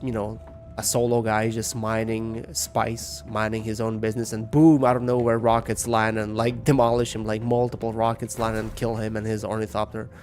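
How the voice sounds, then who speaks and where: A man from Lithuania with a Lithuanian accent, a game streamer giving casual, rambling and somewhat hesitant commentary and chat. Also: lowish voice. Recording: music behind the voice. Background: music.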